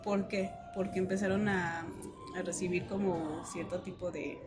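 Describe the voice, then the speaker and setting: A woman with a Mexican accent telling a personal story casually as an interview guest, animated when she retells exchanges. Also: on the low side, normal speed, background noise.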